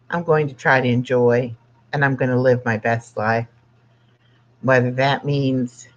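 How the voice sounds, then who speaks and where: Sixty-year-old livestream host, slow pace, halting plainspoken delivery, casual chat and read-aloud, dull lo-fi sound. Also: mid-range voice.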